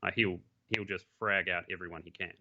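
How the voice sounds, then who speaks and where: A man's voice: sits low, fairly even intonation, fairly quick, sharp tone, slight background noise. A man, a pro esports player, talking casually and bluntly as a podcast guest, with frequent restarts and the odd swear. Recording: muffled lo-fi mic.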